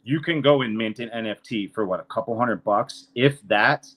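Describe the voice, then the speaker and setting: A man's voice: medium pitch, moderate pace, fairly bright tone. Emphatic, swearing man in his thirties. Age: 30s. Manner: emphatic, profane, boastful. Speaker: financial consultant and podcast speaker. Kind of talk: casual unscripted talk.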